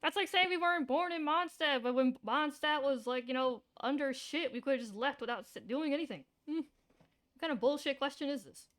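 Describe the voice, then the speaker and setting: A woman giving casual, slangy live commentary as she streams a game, irreverent and quick to swear. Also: high voice, a bit bright. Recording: game music behind.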